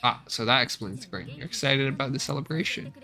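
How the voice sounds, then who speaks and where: A man's voice: medium pitch, quick pace, pushed and bright, natural voice. A man voicing a kindly, composed female navigator from a space video game, gracious and friendly.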